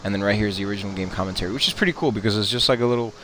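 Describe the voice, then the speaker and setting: A man with a fairly low voice hosts a gaming channel in casual reaction commentary. He rambles and fills gaps with "uh" and "you know," often repeating himself. Faint noise sits behind him. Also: rapid-fire, fairly bright tone.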